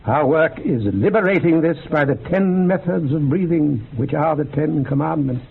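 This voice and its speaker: An actor playing an earnest, bookish occult researcher in a radio drama, lecturing in a measured, gravelly, husky voice. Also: male voice, fairly high pitch. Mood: scholarly, absorbed. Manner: measured, lecturing, quotes texts with relish. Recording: muffled phone-like old radio recording.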